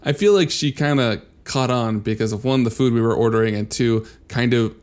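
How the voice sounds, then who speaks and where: A man chatting casually as a podcast co-host, telling animated anecdotes with easy swearing. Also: medium pitch, fairly quick, smooth. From born in Venezuela, left in infancy.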